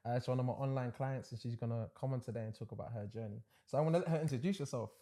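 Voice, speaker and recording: A man's voice: medium pitch, rapid-fire. Young male podcast host, casual and loose, laughs easily, talks with plenty of fillers. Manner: relaxed, laughs often, lots of fillers. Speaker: podcast host and online fitness coach. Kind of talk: casual interview chat.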